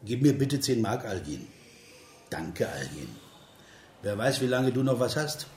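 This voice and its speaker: An actor playing a bitter, mocking man in a radio play, speaking scornfully in deliberate, emphatic short phrases. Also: mid-range voice, very animated, darkish tone. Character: bitter, mocking man needling a failed writer friend. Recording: roomy echo with noisy ambience. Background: ambient noise.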